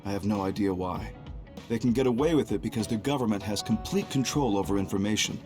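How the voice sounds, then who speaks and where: An actor playing a stern, calm military commander and scheming reformer in an English game dub, speaking formally and deliberately. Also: male voice, lowish voice, lightly acted. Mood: grave, resolved. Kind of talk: English-dubbed video game cutscene acting. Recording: music behind the voice.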